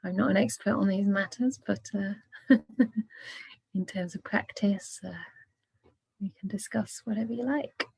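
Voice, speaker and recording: A woman, a Buddhist nun, leading a relaxed online question-and-answer session over a video call, speaking softly with gentle laughs. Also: medium pitch, a little slow, gravelly, long pauses, breathy edge. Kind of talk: casual online Q&A. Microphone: video call microphone.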